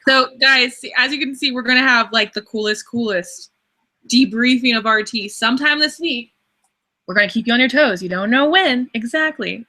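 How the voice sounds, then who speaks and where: A woman's voice: a little high, moderate pace, bright, forceful tone. A young woman co-hosting a podcast, chatting casually and upbeat. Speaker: podcast co-host. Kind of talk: casual chat.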